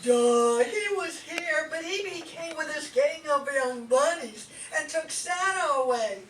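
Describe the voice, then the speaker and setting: A high, bright voice, slow and dopey, in an echoey room. A woman plays a dim, plaintive talking reindeer in a comic radio play. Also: expressive, slight background noise. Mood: dopey, upset. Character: dim-witted, distraught reindeer. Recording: echoey room, distant mic.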